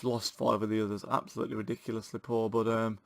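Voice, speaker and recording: A man with a sore throat, giving excitable, chatty commentary to viewers while playing a football video game. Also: fairly low pitch, fast, vocal fry, dry recording. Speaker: gaming channel host.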